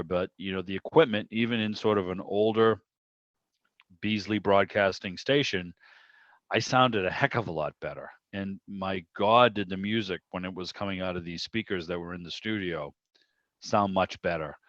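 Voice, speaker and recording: A man's voice: low-pitched, fairly bright tone. A man chatting casually in a rambling, anecdotal way on a video call. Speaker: radio show producer and interviewer. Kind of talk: casual hobby club chat. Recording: dull, roomy video-call audio.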